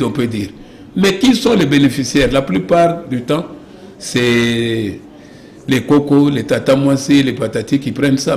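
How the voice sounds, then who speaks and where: Emphatic Congolese man in a noisy, echoey room. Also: a little high. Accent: Congolese French. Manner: emphatic, insistent, repeats phrases and asks rhetorical questions. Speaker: political party leader, interview guest. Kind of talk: televised political interview. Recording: roomy echo with clear background noise.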